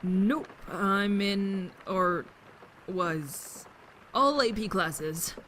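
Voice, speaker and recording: An actor playing a bored, reserved high school student in an audio drama, speaking in an understated way. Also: very slow, fairly bright tone. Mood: subdued. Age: young adult. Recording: rainy ambient noise behind.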